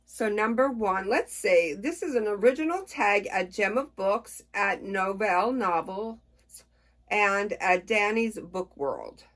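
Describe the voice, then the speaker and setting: A middle-aged woman chatting casually to camera about books, unhurried and folksy, with a playful, expressive way of talking. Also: medium pitch. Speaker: book reviewer on a personal channel.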